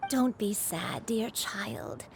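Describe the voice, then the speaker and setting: A woman playing a gentle, devoted old granny in a video game, speaking tenderly and soothingly. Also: mid-range voice, sing-song, gravelly, slight background noise, exaggerated voice. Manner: tender, soothing, slow reassurance.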